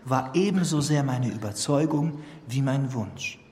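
Effusive young period gentleman, mid-pitched, standard German, ornate self-justifying delivery, long hall reverb, radio drama. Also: animated, unhurried, slight background noise. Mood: earnest self-justification. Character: young, charming, effusive gentleman reading out his own letter. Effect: reverb marking a letter voice.

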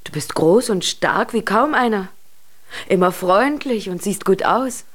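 Motherly, brisk dwarf wife. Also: mid-range voice, animated. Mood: coaxing. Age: middle-aged. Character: practical, matchmaking dwarf mother, smith's wife. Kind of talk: children's radio play acting.

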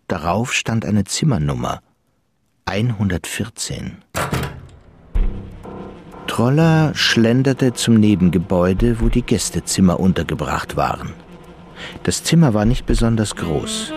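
A man narrating a crime radio drama in a measured storytelling manner. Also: fairly low pitch.

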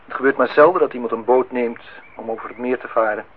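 An actor playing a polite, lonely host in a radio play, formal and slightly insistent. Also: male voice, mid-range voice, fast talker, slightly rough, close to an everyday voice. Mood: hesitant, matter-of-fact. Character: polite, lonely man hosting a young woman at his lakeside house. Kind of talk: Dutch radio play acting. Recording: noisy, tinny old radio recording.

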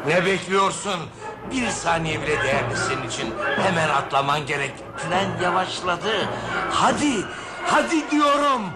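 A man's voice: high voice, lively intonation, moderate pace, loud music behind the voice. A man playing an agitated, impatient train passenger in a radio drama, curt and commanding. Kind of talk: Turkish radio drama acting. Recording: very noisy old radio play.

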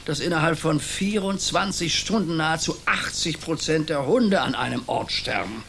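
An actor playing a worried small-town mayor in a radio play, hesitant and ponderous. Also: male voice, fairly high pitch, normal speed, gravelly, a bit bright, slight background noise. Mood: fretful.

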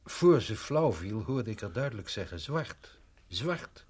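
A man's voice: lowish voice, very animated, measured pace, darkish tone, breathy edge, exaggerated voice. A man playing a puzzled, probing party guest in a radio drama.

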